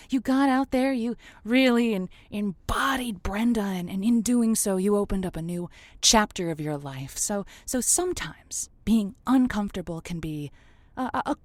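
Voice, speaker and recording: A woman's voice: medium pitch, a little mellow, dry recording, natural voice. Audio drama lead: an actress as a female call-in show host, theatrical and encouraging, stumbling pep talks, very dry recording. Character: Josie, gushing adult female late-night radio advice host. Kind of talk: semi-improvised audio drama, radio advice show.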